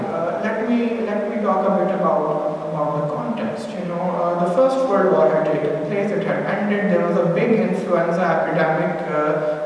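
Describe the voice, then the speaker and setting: Echoey, high-pitched Pakistani man lecturing. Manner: discursive, frequent "you know" asides. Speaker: speaker giving a history talk. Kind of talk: public lecture, semi-improvised. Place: indoor event room at a museum. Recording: very echoey hall, distant mic, faint noise.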